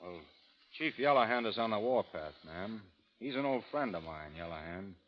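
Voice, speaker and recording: An actor playing a steady, wry frontier gunman with an American accent, understated and drawling, in old radio drama style. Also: lowish voice, normal speed, slight background noise, close to an everyday voice. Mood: easygoing, laconic. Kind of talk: 1930s-40s radio drama acting. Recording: dull vintage radio recording.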